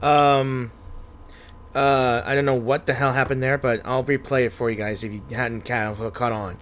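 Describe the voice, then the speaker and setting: A man's voice: medium pitch, steady tone, moderate pace, fairly bright tone, a little hiss or hum. A man giving casual, playful and teasing commentary as he streams a game playthrough. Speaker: gaming streamer.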